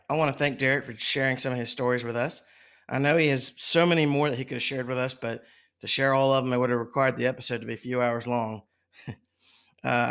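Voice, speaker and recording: Halting male podcast host, medium pitch. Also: a bit bright, muffled recording. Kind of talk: casual podcast interview.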